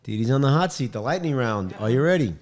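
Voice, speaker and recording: A middle-aged man hosting an interview podcast from a home studio, upbeat and joking, with quick interjections into a studio mic. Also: mid-range voice, lively intonation, a little mellow. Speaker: podcast host. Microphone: studio mic on boom arm, headphones.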